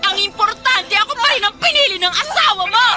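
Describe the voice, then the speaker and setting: An actress playing a proud, hot-tempered, combative mistress in a Filipino radio drama. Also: female voice, very high voice, expressive, fairly quick, crowd noise. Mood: enraged. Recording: music behind.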